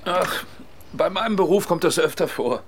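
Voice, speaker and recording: A man's voice: high-pitched, lively intonation, normal speed, breathy edge. An actor playing a hesitant married tradesman in a radio drama, reticent and brief in his answers. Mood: evasive. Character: hesitant married village tradesman, drawn into seduction. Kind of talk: German radio drama acting.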